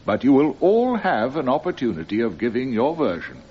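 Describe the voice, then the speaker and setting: An actor playing an authoritative judge in a radio drama, addressing the court in a formal, even-handed way. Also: male voice, mid-range voice, a little fast, slightly rough, muffled recording. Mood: measured, authoritative. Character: authoritative male trial judge presiding from the bench.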